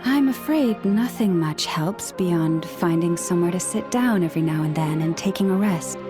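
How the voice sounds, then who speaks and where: A woman voicing a gentle, dignified priestess with antlers in a video game, speaking in a polite, formal and measured way. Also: lowish voice, over music, close to an everyday voice. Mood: polite, composed.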